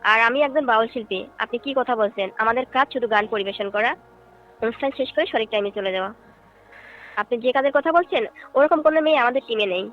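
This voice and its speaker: A woman's voice: on the high side, fast, close to an everyday voice. A woman playing a courteous folk singer and troupe leader in a staged phone call, speaking in a polite, questioning, explanatory way. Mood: polite, guarded. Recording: dull phone-call audio with music behind.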